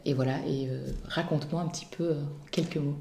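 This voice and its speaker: A woman's voice: low voice, steady tone, brisk, vocal fry, warm tone, reverberant. A woman hosting an interview podcast, asking questions in a friendly way. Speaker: podcast host.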